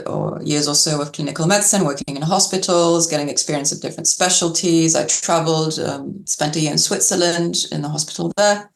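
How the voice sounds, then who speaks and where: A woman guest answers in a measured, reflective way, with a gravelly edge to her voice. She sounds as if she is in a room with a lot of echo. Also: sits low. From Algeria and Yorkshire. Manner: thoughtful, laughs lightly. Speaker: guest, public health doctor. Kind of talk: interview answers. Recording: echoey room.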